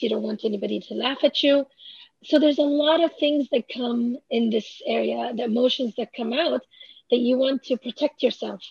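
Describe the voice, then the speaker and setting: High, gravelly-voiced woman. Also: dry recording. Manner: thoughtful, many fillers and restarts. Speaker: author and language researcher. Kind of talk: interview answers. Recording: slightly dull lo-fi sound.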